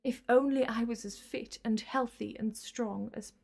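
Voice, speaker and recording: High-voiced, imperious villainess, played by a woman. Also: fairly even intonation, unhurried, gravelly, darkish tone. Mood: bitter, haunted. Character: desperate, menacing female Time Lord villain, scheming and bitter. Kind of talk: sci-fi audio drama acting.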